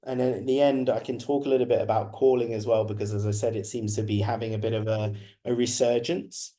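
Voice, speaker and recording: A man in his thirties with a husky voice, slightly hoarse from being unwell, giving a conversational online presentation with frequent restarts. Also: medium pitch, fairly even intonation, quick pace, mellow. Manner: conversational, many restarts and repetitions. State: recovering from illness, slightly hoarse. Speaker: guest speaker presenting a webinar.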